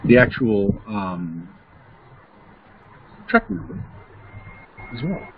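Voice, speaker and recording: A man giving an unscripted, somewhat halting walkthrough as a software demonstrator. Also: on the low side, big pitch swings, rough recording. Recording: faint background noise.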